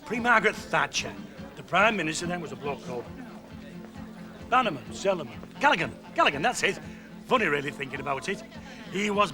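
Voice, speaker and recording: An actor playing an older pub regular who rambles and grumbles about the past. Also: male voice, high-pitched. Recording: music behind.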